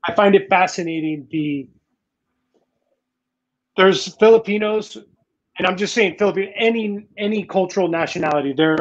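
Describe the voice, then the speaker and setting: A man in his forties hosts a live online interview from a room at home, his voice slightly high. He rambles through long questions, restarting and dropping in "you know", and the webcam audio sounds dull and lo-fi. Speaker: talk show host. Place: home room in Manila, indoors. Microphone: video call webcam.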